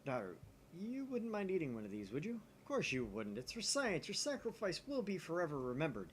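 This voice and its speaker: A bossy young male mad scientist, acted out by a man reading a visual novel. His delivery is theatrical and swings widely in pitch, over faint background noise. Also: huge pitch swings, a little fast. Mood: mock solemn. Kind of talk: visual novel read-aloud.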